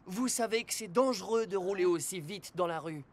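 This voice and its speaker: A woman voicing a calm, caring rescue robot car who patiently teaches children about safety in a dubbed cartoon. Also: lowish voice, moderate pace, natural voice. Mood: instructive. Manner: patient, instructive safety lecture. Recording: studio voice with music behind.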